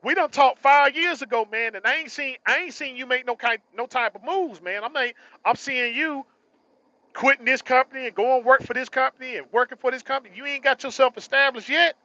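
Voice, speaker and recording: A man's voice: very high-pitched, a little fast, pushed and bright, no room sound, muffled recording. A man in his early forties giving a casual, slangy motivational monologue, emphatically repeating phrases for stress. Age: early 40s. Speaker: truck driver vlogger.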